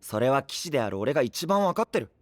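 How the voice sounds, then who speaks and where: A man's voice: mid-range voice, very animated, quick pace, dry recording, close to an everyday voice. A man playing a frank, cheerful and honest young knight in an anime voice drama, with a casual, exuberant manner. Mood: earnest. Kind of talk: Japanese anime voice drama acting.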